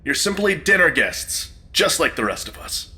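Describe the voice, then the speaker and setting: Man playing an arch, bright-voiced, nosy gentleman. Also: on the high side, sing-song, moderate pace, exaggerated voice. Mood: arch, amused. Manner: wry, urbane, needling. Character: arch, nosy, sharp-tongued gentleman dinner guest. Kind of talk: audio drama acting.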